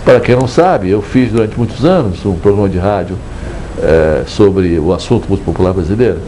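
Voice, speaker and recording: An older Brazilian man, an author speaking reflectively in a measured, digressive way with hesitant restarts. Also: deep voice, lively intonation, dark tone, a little hiss or hum, low-quality recording. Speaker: book author. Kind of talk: reflective interview monologue.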